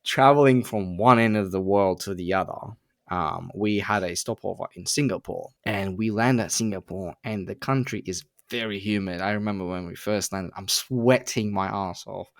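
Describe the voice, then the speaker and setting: A man's voice: fairly low pitch, normal speed, no room sound, clean studio sound. A man in his twenties from Turkey, a podcast guest talking casually with frequent laughs and fillers. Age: 20s. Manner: relaxed, laughs often, many fillers. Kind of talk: casual conversation.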